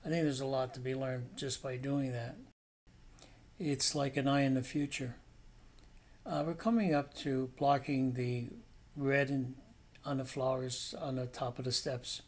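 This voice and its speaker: A man's voice: mid-range voice, measured pace. A middle-aged man giving a casual painting demonstration as an instructor, rambling and thinking aloud. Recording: clear steady background noise.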